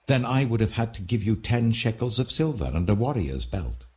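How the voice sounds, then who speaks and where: A man's voice: on the low side, sing-song, moderate pace, darkish tone, voice pushed up. A man voicing a harsh, impatient ancient army commander in a scripture audiobook, blunt and commanding. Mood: indignant, impatient.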